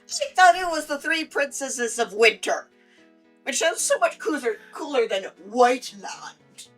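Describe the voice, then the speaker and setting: High-pitched woman bantering over music. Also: very animated, unhurried. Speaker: co-host interjecting in a story reading. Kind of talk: casual banter. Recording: music bed under the voice.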